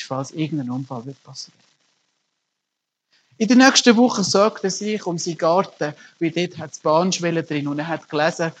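A man's voice: on the high side, low-quality recording. A middle-aged man, preaching a sermon as a pastor and telling stories earnestly with emphatic rises. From Germany.

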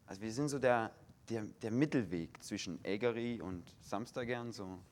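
A young man from Saxony giving a sermon in a full church hall in standard German with a faint Saxon tinge, slow and measured, stressing single words. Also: fairly low pitch, a little mellow. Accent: standard German with a slight Saxon tinge. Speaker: guest preacher.

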